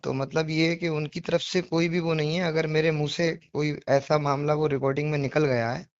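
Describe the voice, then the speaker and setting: A man with a somewhat high voice talks over a dull, lo-fi phone recording. He is apologetic and insistent, justifying himself in earnest conversation, often repeating his point and asking questions he expects agreement to. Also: level tone, brisk, no echo. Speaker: devotional poetry reciter on a phone call. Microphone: phone call.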